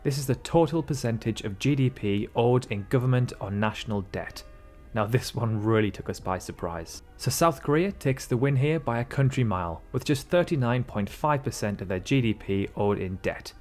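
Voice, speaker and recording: A man's voice: mid-range voice, expressive, moderate pace, darkish tone. A man narrating a scripted country comparison in an upbeat, emphatic way. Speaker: video narrator. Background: faint background music.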